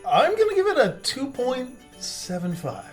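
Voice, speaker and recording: A man's voice: extremely high pitch, huge pitch swings, moderate pace, soft background music. A man co-hosting a review show in casual comic banter, giving wry, brief replies. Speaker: beer review show co-host.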